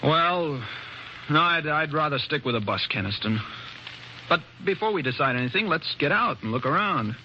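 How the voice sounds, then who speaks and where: An actor playing a gruff, hard-boiled bus driver who narrates an old-time radio thriller. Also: male voice, on the high side, expressive, normal speed, background noise, close to an everyday voice. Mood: hard-boiled, tense. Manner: hard-boiled, colloquial storytelling. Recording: dull old radio broadcast, loud music.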